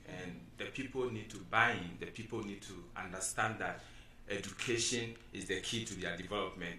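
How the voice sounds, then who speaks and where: Man from Ghana, panel guest, bright forceful tone, distant mic in an echoey room. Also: on the low side, a little hiss or hum. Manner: earnest, steady explanatory talk. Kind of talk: explaining his community work.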